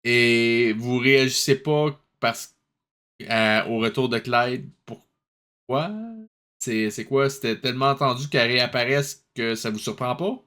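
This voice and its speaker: A man's voice: medium pitch, fairly even intonation, a little slow, fairly bright tone, a little hiss or hum. A man with a Québécois accent giving casual, mocking, incredulous commentary as host of a cartoon review.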